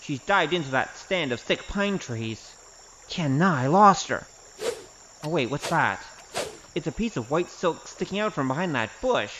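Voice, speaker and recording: A man's voice: fairly high pitch, big pitch swings, unhurried. A man playing a wry, cocky wandering gambler in an audio drama, sardonic and teasing. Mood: musing. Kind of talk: audio drama acting with spoken self-narration. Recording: dull, slightly noisy audio.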